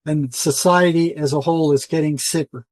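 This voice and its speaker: Older man, slightly high voice, insistent talker. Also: steady tone, normal speed, dry recording. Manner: insistent, emphatic, repeats phrases for stress. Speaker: interview guest, retired psychotherapist. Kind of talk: conversational interview monologue.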